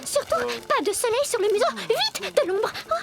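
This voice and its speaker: A woman's voice: extremely high pitch, lively intonation, moderate pace. A woman voicing a tiny, anxious, well-meaning animal who frets and repeats everything in a French cartoon dub. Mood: worried, fussing. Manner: fretting, repetitive, exclaiming. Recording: loud cartoon music behind.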